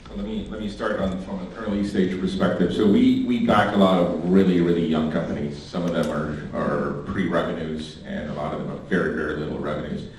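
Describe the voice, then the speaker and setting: A man with a very deep voice who speaks in a measured way on a conference panel and repeats words for emphasis. He is heard from across a large, reverberant hall. Faint noise sits underneath. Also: little pitch movement, darkish tone. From Philippines. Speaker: panelist, venture capital founder. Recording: echoey hall, distant mic.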